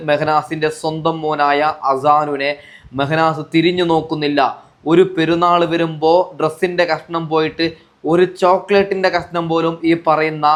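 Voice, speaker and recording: A man's voice: a little high, a bit bright. A man giving indignant, accusatory commentary to viewers, repeating himself and throwing out rhetorical questions. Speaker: vlogger commenting on social media disputes.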